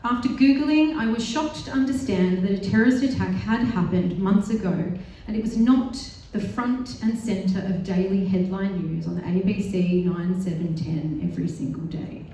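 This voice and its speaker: An Australian woman delivers an earnest, determined speech at a live panel, with the odd wry aside about herself. Her tone is mellow. The sound is distant and heavily echoey, like a big hall, with a faint hiss behind. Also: mid-range voice, normal speed, few pauses. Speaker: panel speaker. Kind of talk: prepared speech at a live event. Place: large synagogue hall.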